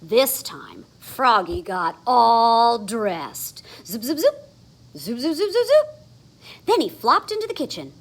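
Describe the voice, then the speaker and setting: High-pitched, very animated woman reading a storybook. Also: takes it slowly. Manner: expressive, dramatic emphasis on sound words. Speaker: storybook reader. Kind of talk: animated children's read-aloud.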